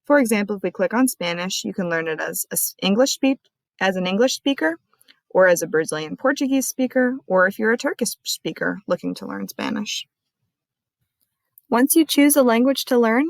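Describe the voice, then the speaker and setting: A woman librarian walking viewers through a screen-recorded tutorial in a clear, friendly explaining manner. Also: medium pitch, no room sound.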